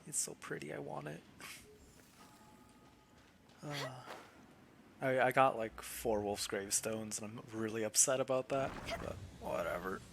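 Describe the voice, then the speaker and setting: A man's voice: mid-range voice, unhurried, gravelly, pauses often, slight background noise. A young man giving casual, chatty commentary over his gameplay. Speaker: gaming video creator.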